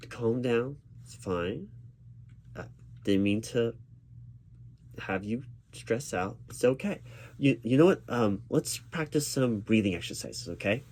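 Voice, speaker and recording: Low-voiced man, reassuring interviewer with lively intonation. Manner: reassuring, halting with repeated words. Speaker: job interviewer in a one-sided roleplay. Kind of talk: ASMR roleplay, spoken aloud rather than whispered. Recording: steady keyboard typing noise behind voice. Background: computer keyboard typing.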